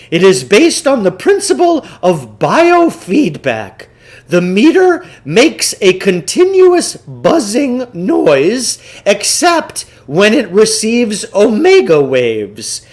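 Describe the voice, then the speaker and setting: A man reading a children's novel, voicing a pompous, theatrical, eccentric salesman who lectures with deliberate self-importance. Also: high-pitched, full character voice. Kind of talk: children's novel read aloud in character.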